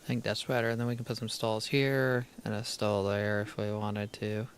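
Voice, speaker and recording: A man's voice: fairly low pitch, monotone, normal speed. A man streaming a game live, thinking aloud as he plays, half-muttering his plans, with a crackly voice from a dry throat. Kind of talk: casual live gameplay commentary.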